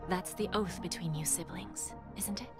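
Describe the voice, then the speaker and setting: A woman playing an elegant, patient, calculating lady dealmaker in a video game, with a silky, breathy, patronizing delivery over music. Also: lowish voice, moderate pace, close to an everyday voice. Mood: persuasive, knowing. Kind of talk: English game voice acting.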